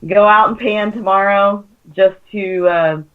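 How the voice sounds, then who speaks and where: A woman's voice: mid-range voice, fairly even intonation, measured pace, clean tone. Woman co-host over a phone line. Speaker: radio show co-host. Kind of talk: casual radio chat.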